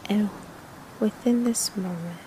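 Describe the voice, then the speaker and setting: A woman narrating a guided meditation in a soothing, hushed manner, with breathy phrase endings and long pauses between short phrases. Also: mid-range voice, a little hiss or hum, rough recording.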